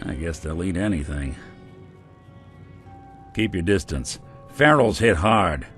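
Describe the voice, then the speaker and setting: Low-voiced, wry American robot detective. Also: male voice, takes it slowly, faint music behind, full character voice. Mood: grim, wry. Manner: wry, deadpan, world-weary wisecracks. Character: weary wry robot private detective, middle-aged male, good-hearted. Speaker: companion character in a video game. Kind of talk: video game voice acting, noir detective.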